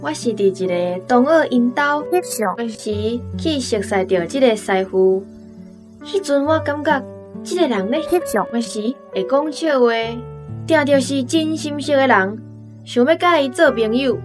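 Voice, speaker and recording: Chatty straight-talking young taiwanese woman, radio play, voice a bit high, light guitar behind. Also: expressive, measured pace. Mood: confiding. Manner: direct, talkative. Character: frank, chatty young woman confiding about courtship. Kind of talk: radio drama acting. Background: plucked guitar music.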